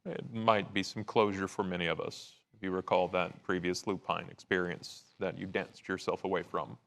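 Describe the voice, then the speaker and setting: Dry male vampire investigator, played by a man, deep voice, formal phrasing, tabletop roleplay. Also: little pitch movement, moderate pace, gravelly, a bit bright.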